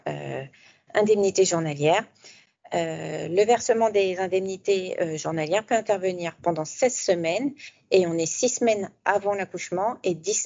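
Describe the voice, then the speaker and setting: Mellow-voiced woman explaining coverage, hesitant. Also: mid-range voice. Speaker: insurance fund expert. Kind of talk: informational interview answers. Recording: dull lo-fi sound.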